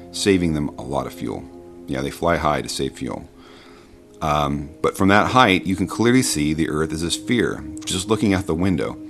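A man giving an informal explanatory voice-over, conversational and halting, patiently reasoning through his points. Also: rumbling low. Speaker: video narrator explaining science.

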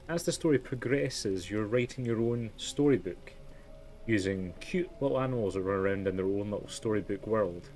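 A man's voice: lowish voice, a little mellow, slight background noise. A man giving let's play commentary as he hosts a story game. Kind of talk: gameplay commentary mixed with reading aloud.

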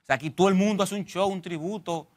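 A man's voice: high voice, fast. A man in his thirties with a Dominican accent, a stand-up comedian talking animatedly as a guest on a studio interview show. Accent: Dominican Spanish. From Dominican Republic. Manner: gestures with his hands, lively and joking. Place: TV studio set.

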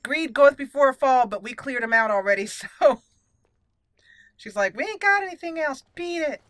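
A woman's voice: high voice, expressive, normal speed, a bit bright, no room sound. A woman giving casual game commentary as host, chatty and giggly, musing aloud as she plays.

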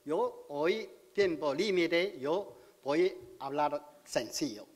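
Slow, high-voiced man with a Chinese accent. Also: expressive. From China. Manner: halting, simple broken Spanish with pauses. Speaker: community speaker at civic event. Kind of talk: public speech at a podium. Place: large auditorium stage. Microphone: podium microphone. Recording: hall reverb.

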